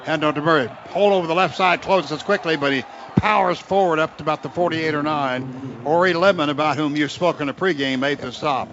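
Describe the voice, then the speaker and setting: Muffled noisy radio feed, american guy calling football play by play, kinda high voice, clipped phrases. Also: a little fast. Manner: clipped running description, rising into excited calls on big plays. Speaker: radio play-by-play announcer for the Oklahoma team. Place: outdoor football stadium broadcast. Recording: dull, noisy stadium radio broadcast.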